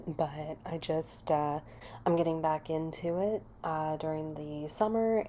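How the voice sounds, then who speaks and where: A woman giving an informal, unscripted author's note to listeners in a hesitant, halting manner. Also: sits low, a little mellow. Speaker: podfic author and reader. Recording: faint background noise.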